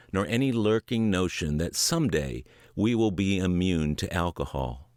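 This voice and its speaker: Deep-voiced man reading aloud earnestly. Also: no echo, studio quality. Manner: measured, earnest reading with dips at sentence ends. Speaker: audiobook reader. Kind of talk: word-for-word book reading.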